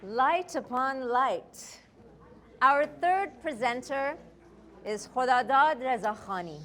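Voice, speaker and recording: A woman's voice: sits high, animated, slow pace, crowd noise. Woman moderator reading an introduction. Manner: formal, reads a prepared introduction. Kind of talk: introducing a speaker. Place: lecture hall.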